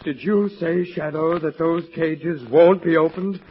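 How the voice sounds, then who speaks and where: An actor playing a bitter, vengeful captive turned mob leader, intense and melodramatic in 1940s radio drama style on a muffled recording. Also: male voice, a little high, steady tone, unhurried, a little hiss or hum, lightly acted.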